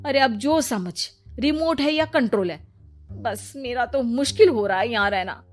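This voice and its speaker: A woman's voice: fairly high pitch, lively intonation, normal speed, soft background music. A woman voicing a fed-up young wife in a cartoon family story, complaining melodramatically. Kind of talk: Hindi cartoon story acting.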